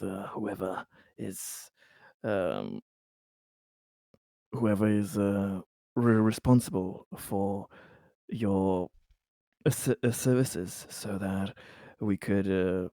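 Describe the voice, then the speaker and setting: A man's voice: on the low side, dark tone, no echo. A man playing a cautious, polite negotiator in a tabletop game, speaking in a very hesitant, stammering way. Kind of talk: improvised tabletop roleplay.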